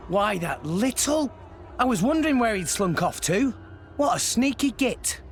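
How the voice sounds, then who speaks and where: A man's voice: high voice, sing-song, measured pace, soft background music. A man with a British accent playing an earnest, eager young adventurer in a video game. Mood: casual, indignant.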